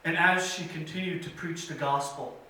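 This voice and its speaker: A man tells a story at church story time with an earnest, measured delivery, pausing now and then. His voice is bright and fairly high, caught by a distant mic in a very echoey hall with faint room noise behind him. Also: fairly even intonation. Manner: earnest, measured telling with pauses and fillers. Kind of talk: children's story time retelling. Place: church sanctuary.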